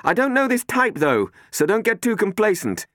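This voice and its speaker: A brisk, self-assured woman who gives orders without fuss, her voice set clearly higher than the narrator's own, in a male reader's portrayal. The sound is dry and intimate. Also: no room sound. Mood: curt. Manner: curt, calm, decisive. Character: cool, commanding woman pilot.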